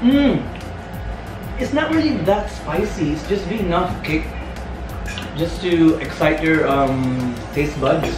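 A man's voice: a little high, animated, takes it slowly, pauses often. A young Filipino man with a Filipino accent, chatting upbeat and tasting food indoors, laughing often. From Philippines. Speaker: vlogger. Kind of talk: casual food tasting chat. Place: indoors in a small room, seated at a table. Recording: echoey room from a distance with music.

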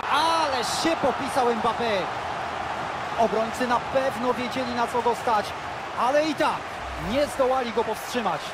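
A man doing play-by-play commentary for a football video game, lively and bursting out on goals. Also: extremely high pitch, heavy background noise. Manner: excitable, erupts on goals. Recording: loud stadium crowd behind.

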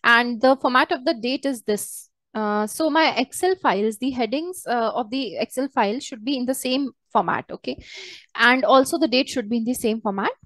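A woman walking through a software tutorial step by step as a trainer, in a hesitant, halting way. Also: fairly high pitch, no echo. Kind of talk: screen-share software tutorial, unscripted walkthrough.

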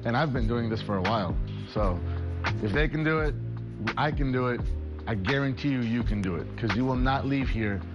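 A man's voice: medium pitch, a little fast. A man in his forties who owns a woodworking shop, talking to camera in an upbeat, chatty, joking way about his classes, recorded indoors in his workshop on a lapel mic. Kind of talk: promotional interview to camera. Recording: music bed loud underneath.

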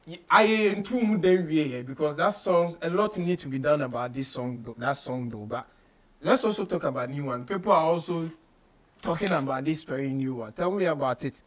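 A man's voice: on the high side, a little fast, a bit bright. A Ghanaian-accented man in his thirties hosting a casual studio interview, mixing English with local slang and phrases. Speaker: radio show host, interviewer. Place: small radio studio office, indoors.

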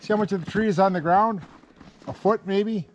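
A man's voice: high-pitched, brisk, highs cut off, background noise. An older man working draft horses in snowy woods, explaining his work in a plain-spoken, casual way. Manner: plain-spoken, giving short commands to horses. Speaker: horse logger. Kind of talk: casual chat while working. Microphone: camera mic from a few meters away.

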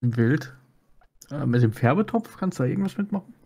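A man with a dark, relaxed and somewhat husky voice. He comments casually on a game as a co-streamer. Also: fairly high pitch, fairly quick. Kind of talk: casual let's-play chat.

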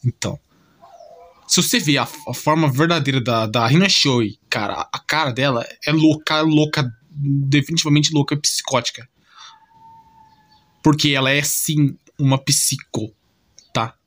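A man's voice: mid-range voice, unhurried, a bit bright. A man with a Brazilian accent giving a casual, unscripted explanation as a YouTuber, repeating himself and often checking that the listener follows. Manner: repetitive, often checks listener understanding with short tag questions. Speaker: YouTuber recapping anime and manga.

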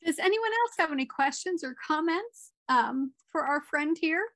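Middle-aged woman moderator, slightly high, some fry, dry video-call sound. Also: expressive, moderate pace, fairly bright tone, dry recording. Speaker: conference moderator. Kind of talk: moderating a conference Q&A.

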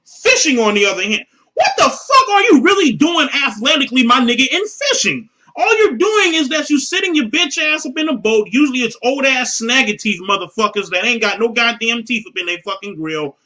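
A man's voice: extremely high pitch, animated, fairly quick, pushed and bright. A man delivering a profane comedic rant in an emphatic, incredulous manner. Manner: emphatic, incredulous, ranting with exclamations.

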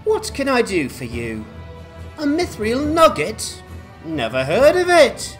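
A man's voice: very high-pitched, huge pitch swings, slow pace, a bit bright, faint music behind, pitched above the performer's own voice, heavily acted. A man voicing a chatty, naive hobbit museum keeper with a folksy, exclamatory manner in a fantasy game. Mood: cheerful, puzzled. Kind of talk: dramatized fantasy game quest dialogue.

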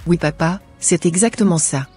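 A man voicing an earnest young cartoon woman, husky and high. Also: moderate pace, a little mellow, faint music behind. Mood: hurt, pleading. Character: earnest young woman, obedient yet devoted to her love. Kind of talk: scripted cartoon dialogue.

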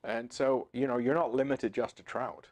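A man in his sixties hosting a fly fishing channel, talking to camera in a relaxed, conversational way with frequent fillers and restarts, seated in a home office. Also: medium pitch, normal speed. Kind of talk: to-camera instructional talk. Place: home office with computer screens, indoors.

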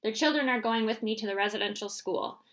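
A woman's voice: mid-range voice, brisk, fairly bright tone, natural voice. A woman voicing a stern, impatient male government agent in a read-aloud story, speaking in curt commands.

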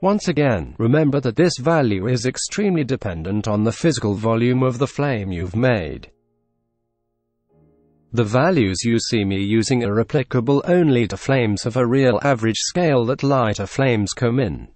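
Deadpan Russian-accented man, dry humor. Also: mid-range voice, moderate pace, dry recording, faint music behind. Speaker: tutorial narrator. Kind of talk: scripted screen-recorded tutorial voice-over.

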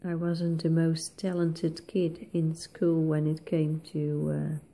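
A woman with a Dutch accent, a native Dutch speaker, talking slowly and thoughtfully with many pauses while colouring in an art tutorial. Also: low voice, completely flat delivery, dark tone. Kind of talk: relaxed voice-over while colouring.

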